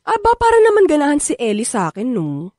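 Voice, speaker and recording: A woman's voice: high-pitched, huge pitch swings, fast talker, a little mellow, dry recording, studio quality. An actress with a Filipino accent playing a bold, flirtatious, eager woman in a radio drama, brash and coaxing. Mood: proud excited. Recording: music bed under voice.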